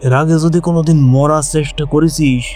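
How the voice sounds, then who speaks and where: A man's voice: on the high side, steady tone, a little fast, a little mellow, soft background music, close to an everyday voice. A man acting a cruel, ruthless occultist father in an audio story, threatening and coarse-tongued. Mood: menacing.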